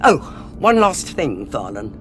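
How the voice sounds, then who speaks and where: A woman's voice: a bass voice, big pitch swings, unhurried, fairly bright tone, full character voice. An imperious space commander in a sci-fi radio play. She is clipped and haughty, with very mobile intonation and music under her voice. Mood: businesslike, resolute. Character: brisk, imperious female alien cardinal, military commander. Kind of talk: audio drama acting.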